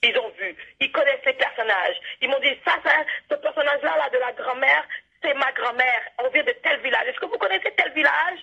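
A woman with a Canadian French accent giving reflective interview answers, earnest and halting, repeating words for emphasis. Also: high voice, vocal fry, highs cut off. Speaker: interview guest, playwright and storyteller.

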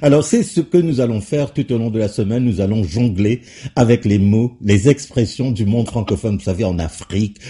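A man hosting a radio talk show, asking his guest questions in an unhurried way with fillers. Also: fairly low pitch, mellow, husky. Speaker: radio host.

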